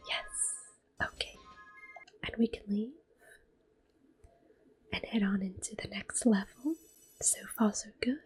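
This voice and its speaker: A woman whispering gently and unhurriedly in a soft, breathy voice, commentating a game in ASMR style up close to the microphone. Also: medium pitch. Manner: gentle, unhurried, softly spoken. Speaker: ASMR gaming host.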